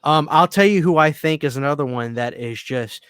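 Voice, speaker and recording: A man in his thirties co-hosting a podcast in casual chat, excitable and swearing a lot, often dropping to low mutters. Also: normal speed, clear, resonant tone, no room sound. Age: 30s. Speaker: podcast co-host.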